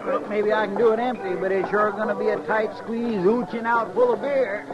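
An actor playing a naive, fussy, rustic sidekick in a 1950s radio western, talking in a folksy drawl. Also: male voice, high voice, fairly even intonation, fairly quick, close to an everyday voice. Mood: fretful, eager. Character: folksy, naive, complaining cowtown male sidekick. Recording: muffled old radio recording, crowd behind.

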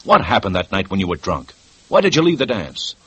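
An actor playing a relentless police lieutenant, talking in a clipped, demanding way in a 1950s radio drama. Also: male voice, low-pitched, sing-song, fairly quick, bright, forceful tone, slightly rough, no echo, heavily acted, rough recording. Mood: pressing. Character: hard-driving male police lieutenant, relentless.

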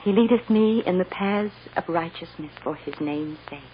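A woman's voice: lowish voice, lightly acted. A woman acting a grave, tender mother, muffled. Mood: solemn. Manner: hushed, grave, halting. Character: tender, sorrowful mother comforting her small daughter. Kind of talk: 1940s radio drama acting. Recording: muffled, noisy old radio recording.

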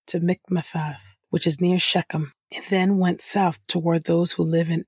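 Husky woman reading scripture. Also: on the low side, little pitch movement, darkish tone, no echo. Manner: measured, deliberate reading. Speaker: scripture reader and podcast co-host.

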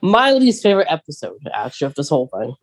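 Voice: medium pitch, huge pitch swings, fast talker, dry recording. A podcast co-host chatting casually about films and books.

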